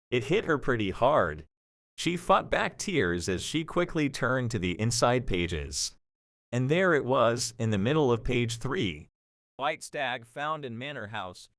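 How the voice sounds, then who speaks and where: Expressive male story narrator. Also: medium pitch, no room sound, clean studio sound.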